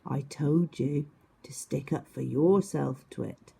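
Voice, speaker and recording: A woman's voice: a bass voice, takes it slowly, vocal fry, warm tone, breathy edge, full character voice. A woman voicing a kindly, encouraging female woodland animal in a storybook, reassuring and full of advice. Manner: reassuring, advising.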